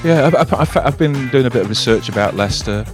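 A male stand-up talks quickly over a clear music bed. He stammers into his lines, repeating words, then lands them as deadpan one-liners. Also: mid-range voice. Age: middle-aged. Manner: hesitant, stammering repetitions. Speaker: stand-up comedian. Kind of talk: deadpan one-liner comedy in interview answers. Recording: music playing behind the voice.

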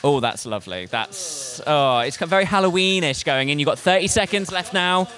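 A man's voice: on the high side, animated, normal speed, sharp tone. A man with an English accent hosting a radio segment, giving upbeat running commentary. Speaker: radio presenter.

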